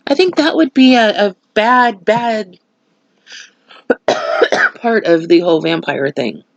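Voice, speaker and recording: Woman, vlogger, casual chat, stuffy nose, rambling, mid-range pitch. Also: lively intonation, slow pace, creaky, dry recording, dull audio. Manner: rambling, opinionated asides. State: stuffy nose, slightly nasal.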